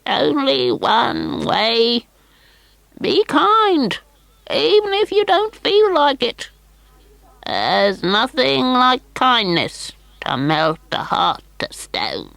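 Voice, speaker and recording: A woman voicing a small, bent, ancient woman of the woods in a storybook reading, slow and knowing. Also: fairly high pitch, big pitch swings, vocal fry, a bit bright, no echo, pitched above the performer's own voice, exaggerated voice. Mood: earnest.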